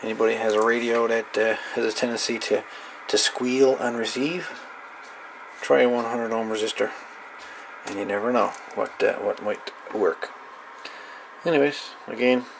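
A man's voice: mid-range voice, gravelly. A man giving a casual, unscripted hands-on electronics tutorial in an easygoing, rambling, informal way, recorded at an indoor workbench. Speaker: electronics hobbyist demonstrating a DIY radio project. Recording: noisy camera microphone at a workbench.

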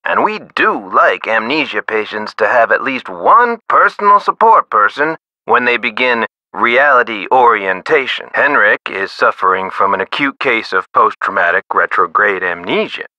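A prim, theatrical male nurse for a video game, low in pitch but very bright and sharp. He speaks formally and earnestly, swooping up and down with precise emphasis. The audio is slightly dull and compressed. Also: sing-song, moderate pace, few pauses, no echo, heavily acted. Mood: earnest, clinical. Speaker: nurse character in a mystery game.

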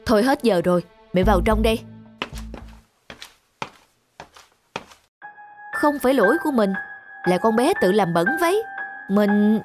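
A woman's voice: mid-range voice, animated, fairly quick, over music, natural voice. A woman voicing a pushy, jealous, doting mother in a cartoon, with a fussy, bossy manner. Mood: flustered, guilty. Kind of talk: cartoon dubbing.

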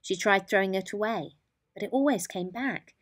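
A woman's voice: medium pitch, normal speed. A woman storyteller narrating a children's bedtime story.

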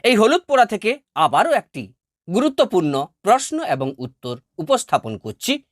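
A woman's voice: mid-range voice, sing-song, normal speed, no echo, studio quality. A woman teacher reading a scripted lesson for school students in a formal, emphatic, carefully phrased way. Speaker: teacher presenting exam answers to twelfth-grade students. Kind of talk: scripted educational narration, read-out essay.

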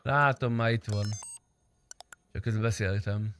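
A man's voice: lowish voice, steady tone, fairly bright tone. A young man who streams roleplay gaming, chatting casually with blunt, sweary banter. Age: young adult. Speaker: streamer playing online roleplay game.

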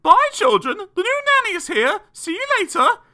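A man voicing a brisk, self-important busy mother in a children's story. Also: extremely high pitch, big pitch swings, bright, forceful tone, pitched above the performer's own voice, full character voice. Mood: breezy farewell.

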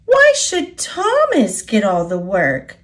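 A boastful young female steam engine from a storybook read by an American woman. She is eager and impatient, her pitch bouncing around in lively swings. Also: slow.

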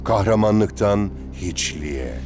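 A calm cartoon footballer, senior to his teammates, with a lowish voice. He speaks like a wistful storyteller over music. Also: slow pace. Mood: wistful, solemn. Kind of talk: animated series dub.

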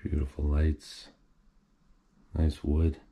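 A man's voice: a bass voice, monotone, slow pace, dark tone. A man casually narrating as he walks through an abandoned house, admiring it and thinking aloud, recorded on a handheld camera. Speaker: urban explorer host. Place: indoors in an empty abandoned house.

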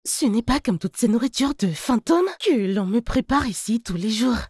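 A dreamy, breathy young daughter. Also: female voice, a little high, lively intonation, normal speed, a little mellow, dry recording, clean studio sound. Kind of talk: French film dub.

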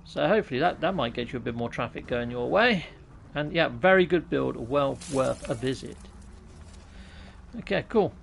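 A man's voice: medium pitch, lively intonation, moderate pace, vocal fry, slight background noise. A British man in his thirties chatting casually with viewers while live-streaming a game, joking and poking fun at himself, on a USB mic set on his laptop in a small room at home. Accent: British English. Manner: jokey, self-mocking, chats with viewers. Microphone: USB mic on top of a laptop.

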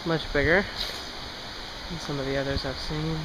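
A man's voice: fairly high pitch, fairly even intonation, normal speed. A young man narrating his own walk on a travel vlog, chatty and musing, with wry asides. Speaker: travel vlogger. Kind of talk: casual first-person travel narration. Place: outdoors on an overgrown trail and railway line. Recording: outdoor camera audio with clear ambient noise.

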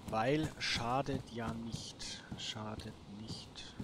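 A man hosts a casual solo let's play. His mid-pitched voice mutters short remarks to himself as he goes. The recording has clear background noise with clicks. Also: steady tone, drawn-out words. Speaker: gaming video host.

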